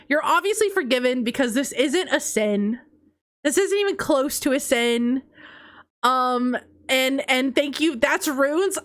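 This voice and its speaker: Lively, animated woman streamer. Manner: playful, teasing, laughs often, swings between high squeals and low mutters. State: congested, runny nose. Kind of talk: casual live-stream chat reading confessions.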